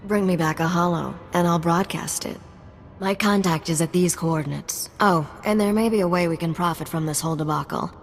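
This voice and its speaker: Low-voiced cool female fixer played by a woman. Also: brisk, soft background music, natural voice. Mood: sly, wry. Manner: composed, wry, businesslike. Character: cool, shrewd female underworld fixer briefing her captain. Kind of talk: video game cutscene acting.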